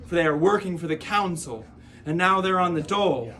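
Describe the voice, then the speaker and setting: A man performing spoken-word poetry, reciting in a rhythmic, emphatic way with drawn-out phrases and softened line endings. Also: high voice, expressive.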